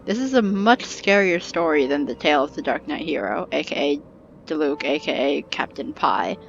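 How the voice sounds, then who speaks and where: A woman's voice: low voice, animated, normal speed, gravelly, muffled recording, a little hiss or hum. A woman giving casual let's play commentary, informal and reactive, thinking aloud as she plays. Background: game music.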